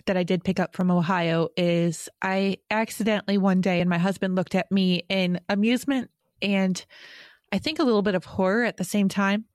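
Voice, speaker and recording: A woman's voice: mid-range voice, fairly even intonation, normal speed, dry recording, clean studio sound. A woman in her forties from Rochester, New York, hosting a podcast in a chatty, self-deprecating way and laughing often. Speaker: podcast host. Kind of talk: casual podcast chat.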